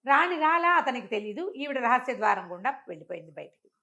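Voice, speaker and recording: A woman's voice: a little high, big pitch swings, fast, creaky, sharp tone, dull audio. A woman tells a moral folk tale conversationally, explaining as she goes and repeating phrases for emphasis. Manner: explanatory, uses repetition and acts out dialogue in passing. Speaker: storyteller.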